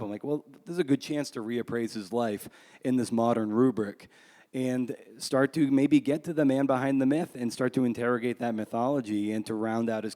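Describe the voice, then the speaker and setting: A man's voice: medium pitch, dark tone. Man talking in an echoey hall. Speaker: author being interviewed. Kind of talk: conversational interview talk at a live event. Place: large room at a live book event. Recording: echoey hall with long reverb.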